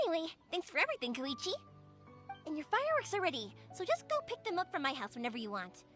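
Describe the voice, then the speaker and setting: An actress playing a cheerful, caring young woman in a video game, chatty, expressive and easygoing. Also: high-pitched, fast, soft background music. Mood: breezy, confident. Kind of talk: video game character acting.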